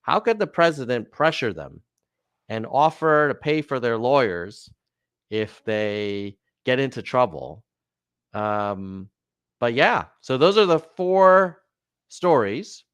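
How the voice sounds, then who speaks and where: A man's voice: medium pitch, animated, slow pace, fairly bright tone, clean tone, no echo. A man hosting a casual news commentary show, talking in a chatty way with offhand asides.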